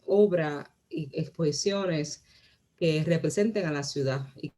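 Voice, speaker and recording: A woman from Rico, giving a lecture as a guest curator, often pausing with fillers and restarting her sentences. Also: deep voice, warm tone. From Puerto Rico. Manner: hesitant, many fillers and restarts. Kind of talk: lecture presentation.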